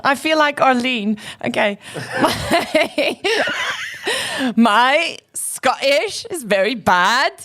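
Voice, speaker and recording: A woman's voice: high-pitched, lively intonation, drawn-out words, fairly bright tone. A woman with a South African accent, a radio host who sets out a challenge and giggles. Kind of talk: bantering radio chat.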